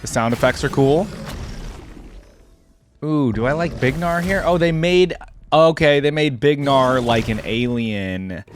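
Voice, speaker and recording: A man hosting a gaming reaction video, commenting casually off the cuff and thinking out loud with easy surprise. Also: medium pitch, measured pace, faint music behind. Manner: easily amused, thinks out loud, exclaims with surprise.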